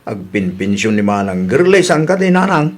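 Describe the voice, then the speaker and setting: A man's voice: fairly low pitch, sing-song, normal speed. A man voicing an eager, pushy grown son in a radio comedy drama, coaxing and insistent.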